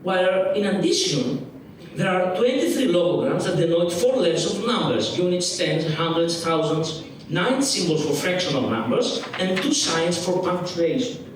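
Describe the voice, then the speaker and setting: A man with a Greek accent reads a scholarly paper in a fairly high voice, often stopping to restart or repeat a word. He sounds distant from the mic, and a big echoing auditorium rings around his words. A faint room hum sits underneath. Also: expressive, normal speed. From Greece. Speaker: lecturer. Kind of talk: academic conference lecture read from a paper. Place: large museum auditorium.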